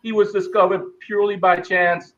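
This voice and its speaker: Man telling lively stories. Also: sits high, moderate pace, dull audio. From Bahamas. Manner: animated storyteller acting out quoted voices. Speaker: guest, former basketball player. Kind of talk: storytelling interview.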